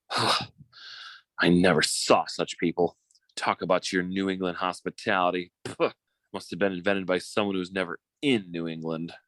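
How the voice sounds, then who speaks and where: An actor playing a scheming, bullying yet cowardly suitor in an old-time radio drama, theatrical and given to stammering when flustered. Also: male voice, sits low, fairly even intonation, normal speed, fairly bright tone, dry recording, low-quality recording. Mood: sardonic.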